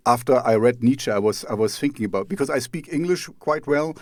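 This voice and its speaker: A man's voice: medium pitch, expressive, fairly quick, darkish tone, no room sound. A man with a German accent hosts a casual talk show in a mid-range voice. He rambles and hesitates, approaching each question from several sides. The sound is very dry, with no room around it. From East Germany. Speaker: radio talk show host. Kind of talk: casual conversational interview.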